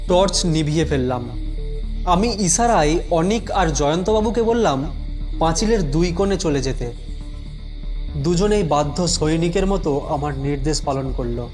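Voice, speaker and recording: A man telling a scripted first-person suspense story in a measured, dramatic way, with music behind him. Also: fairly high pitch, darkish tone.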